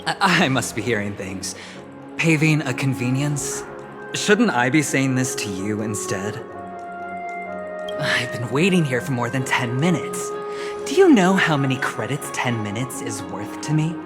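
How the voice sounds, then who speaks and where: A man's voice: medium pitch, animated, a little slow, natural voice. An actor playing a smug, flamboyant young businessman in a video game, with a suave, teasing, theatrical delivery. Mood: mock indignant. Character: smug, flamboyant young male corporate gambler. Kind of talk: scripted video game dialogue. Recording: music behind the voice.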